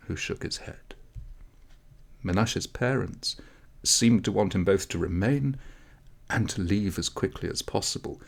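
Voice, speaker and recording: A man reading a story aloud as scripted narration, measured, with dramatic pauses. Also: low-pitched, vocal fry, slightly rough. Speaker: audiobook reader.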